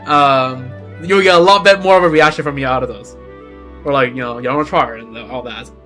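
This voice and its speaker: A man's voice: medium pitch, fairly quick, fairly bright tone, faint music behind. A young man, a native Chinese speaker from Beijing, chatting casually as a game streamer in a rambling, self-correcting way. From China (Beijing).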